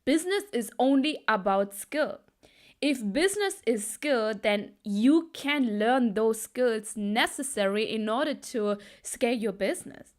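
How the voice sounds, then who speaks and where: A woman's voice: on the high side, lively intonation, a little slow. A woman from Germany with Tamil as her mother tongue, giving an emphatic motivational talk as a business coach, often repeating phrases for weight.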